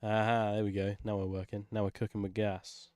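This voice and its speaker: A man's voice: fairly low pitch, little pitch movement, brisk, darkish tone, dry recording, studio quality. A man casually chatting on a livestream while he works, informal and sweary, often muttering to himself. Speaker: livestream host, music maker.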